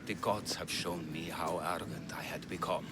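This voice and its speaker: A man's voice: deep voice, fairly even intonation, normal speed, noisy background, close to an everyday voice. A man playing an earnest, exasperated ancient Greek healer in a video game, speaking with indignant emphasis. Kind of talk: video game cutscene acting.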